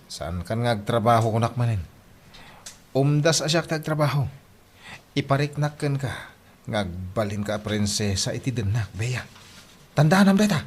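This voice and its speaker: A man's voice: mid-range voice, expressive, moderate pace, long pauses, natural voice. Man acting as a swooping, scheming Ilocano slacker. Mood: boastful, sweet-talking. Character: lazy, scheming young man dreaming of easy money. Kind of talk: radio comedy drama acting.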